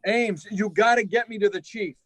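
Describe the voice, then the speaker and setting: A man's voice: sits high, moderate pace, dry recording. A man playing an anxious, urgent security officer at an air base in a radio drama. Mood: urgent insistent. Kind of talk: live radio drama acting.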